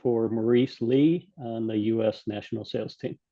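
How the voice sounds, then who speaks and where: A man's voice: mid-range voice, fairly even intonation, moderate pace, warm tone. A man from the United States on a sales team, introducing himself from home on a video call and stammering on repeated words. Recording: muffled video call audio.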